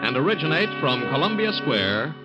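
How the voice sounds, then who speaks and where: A man's voice: a little high, sing-song, moderate pace. A man with an American accent, formally announcing as a network announcer in 1940s radio style. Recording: muffled old radio recording, loud music behind.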